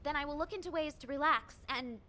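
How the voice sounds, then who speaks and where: A woman's voice: high-pitched, fast, sharp tone. An actress playing an anxious female executive officer who talks in a nervous, stammering way.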